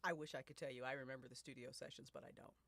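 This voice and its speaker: A woman's voice: rumbling low, steady tone, racing, fairly bright tone. A woman chatting casually as a podcast creator, emphatic and repeating phrases to drive points home. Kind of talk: casual panel chat.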